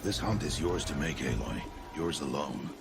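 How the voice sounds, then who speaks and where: A man playing a stern, solemn middle-aged outcast hunter and foster father in a video game, speaking in a grave, measured, formal way. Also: a bass voice, lively intonation, creaky, heavy background noise, exaggerated voice. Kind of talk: video game cutscene voice acting.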